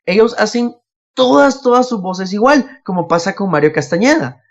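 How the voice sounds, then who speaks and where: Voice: medium pitch, expressive, normal speed, gravelly, lo-fi sound. A podcast host, chatting casually and telling anecdotes, often acting out what others said. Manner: acts out quoted remarks.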